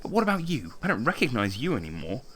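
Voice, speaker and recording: Anguished young writer: sarcastic, self-lacerating, animated delivery, audio drama. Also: male voice, medium pitch, quick pace, husky, heavily acted. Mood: hurt, defensive. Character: anguished, bitter young playwright in love. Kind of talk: naturalistic audio drama acting.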